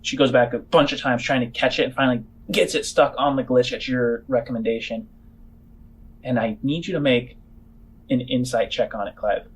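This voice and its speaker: A man running a tabletop game, improvising descriptive narration in halting, trailing phrases. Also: medium pitch, steady tone, slight background noise. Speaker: game master.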